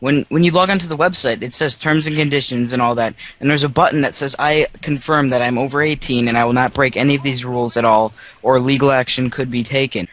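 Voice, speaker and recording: A man's voice: mid-range voice, steady tone, quick pace, hardly any pauses, a bit bright, lightly acted. A man playing an earnest website manager on a prank phone call, explaining things and repeating words for emphasis. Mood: insistent. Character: earnest male website manager reporting user complaints. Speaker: prank caller.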